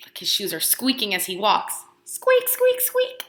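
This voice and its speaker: A woman preschool teacher reading aloud to young children, encouraging them with questions and pauses, using exaggerated, expressive emphasis. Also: mid-range voice. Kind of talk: animated children's read-aloud and lesson. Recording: roomy indoor echo.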